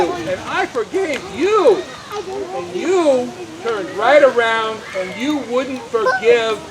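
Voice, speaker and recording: Someone doing a stern scolding master puppet, high voice, real slow and emphatic, outside with crowd around. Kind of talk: children's Bible puppet show. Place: outdoors at an open-air show. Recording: outdoor recording with crowd and traffic.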